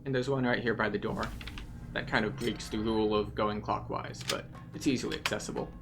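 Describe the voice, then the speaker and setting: Male game guide, methodical and explanatory, gravelly fry in the voice, music underneath. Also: on the low side, steady tone, brisk. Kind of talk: guided video game walkthrough commentary.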